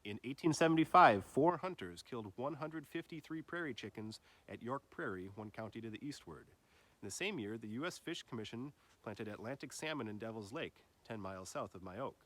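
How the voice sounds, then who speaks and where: A man's voice: mid-range voice, lively intonation, fairly quick, fairly bright tone. Man reading a nature essay aloud. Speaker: reader of an essay.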